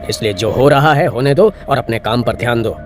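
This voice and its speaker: An actor playing a stern older landowner father in an animated drama, curt and authoritative. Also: medium pitch, fairly quick, music in the background, close to an everyday voice. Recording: noisy background.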